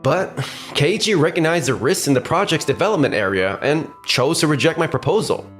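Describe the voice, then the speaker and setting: A man's voice: fairly high pitch, expressive, fairly quick, soft background music, close to an everyday voice. A man voicing a pleading, defensive young male businessman who keeps losing deals.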